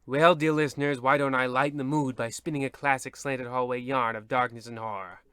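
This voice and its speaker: A man's voice: medium pitch, steady tone, brisk, a bit bright, smooth, no room sound, clean studio sound, close to an everyday voice. A man playing a weary, theatrical narrator in the style of an old-radio horror host. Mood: ominous. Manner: ominous, theatrical, grumbling. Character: weary, disappointed horror-show narrator and uncle.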